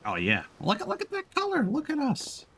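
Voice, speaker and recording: A man's voice: very high-pitched, huge pitch swings, fairly quick, a bit bright, slight background noise. A man streaming a video game, giving casual live commentary as he plays.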